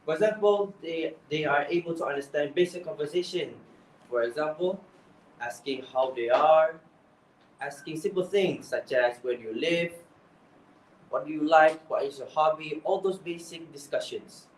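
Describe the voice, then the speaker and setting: Young malaysian guy giving exam tips, a bit high voiced, roomy echo and far off mic. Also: normal speed, slight background noise. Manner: earnest, repeats and restarts phrases. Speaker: English speaking coach. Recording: echoey room, voice from far off.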